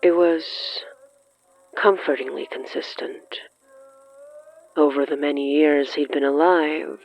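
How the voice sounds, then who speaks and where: A narrator reading dramatic horror fiction in a measured, halting way with weighty pauses. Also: medium pitch, fairly even intonation, lo-fi sound, soft background music. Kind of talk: slow, dramatic scripted narration.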